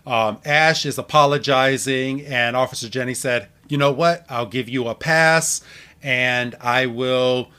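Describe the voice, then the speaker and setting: A man hosting a podcast in a casual recap style, halting and restarting his words, often chuckling. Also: mid-range voice, sharp tone. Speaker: podcast host. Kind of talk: casual episode recap chat.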